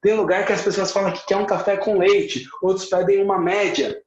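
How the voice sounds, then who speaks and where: A Brazilian man with a Brazilian Portuguese accent and a rather high voice gives an emphatic teaching talk, repeating key points and slipping in English words. He sounds like he is in an echoey room, and the audio is a bit muffled. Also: fairly even intonation, brisk, darkish tone.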